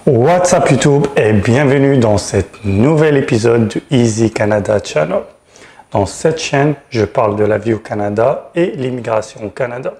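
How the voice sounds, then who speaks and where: A man's voice: mid-range voice, animated, normal speed, darkish tone, faint music behind. Frank man. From Tunisia. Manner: frank, direct address, emphatic stress on key words. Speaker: channel host, regulated immigration consultant. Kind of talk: explanatory advice video to camera.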